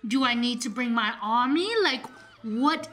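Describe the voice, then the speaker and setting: A woman playing a smug, menacing sorceress who talks in a deliberate, condescending way in an improvised radio play. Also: on the high side, a bit bright. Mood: probing, incredulous. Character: smug, menacing sorceress who speaks to shadows, elder sister.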